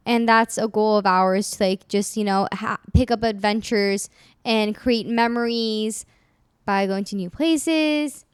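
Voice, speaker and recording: A woman's voice: medium pitch, measured pace, smooth, no room sound, studio quality. A woman in her twenties, co-hosting a podcast in casual chat, rambling with lots of fillers. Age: 20s. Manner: rambling, filler-heavy, laughs often. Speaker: podcast co-host.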